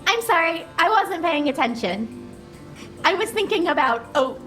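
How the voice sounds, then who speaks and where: A very high, bright female computer voice that drifts along at an unhurried crawl, as a woman plays the AI. She sounds dreamy and oddly literal in this comedic audio drama. Faint music hums behind her. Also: heavily acted, poor audio. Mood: deadpan. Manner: slow, flat, oddly literal delivery.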